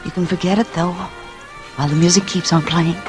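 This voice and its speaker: A woman's voice: on the low side, steady tone, a little fast, close to an everyday voice. A woman playing a wistful, secretive young woman in a doomed romance, earnest and hesitant in 1940s radio drama style. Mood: wistful, guarded. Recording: vintage radio recording with music underneath.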